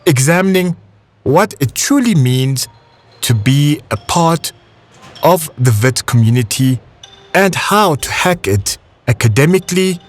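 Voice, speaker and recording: A South African man hosts and narrates in an unhurried, carefully paced way. His pitch moves a lot as he speaks. Soft music plays underneath. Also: lively intonation. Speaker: podcast host. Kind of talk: scripted narration.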